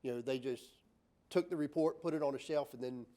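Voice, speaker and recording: Brief: male designer on a panel, mid-pitched, chatty explanations, frequent fillers and tag questions. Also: a little fast, mellow. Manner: many fillers and restarts, tag "right?"; explains with acted-out examples.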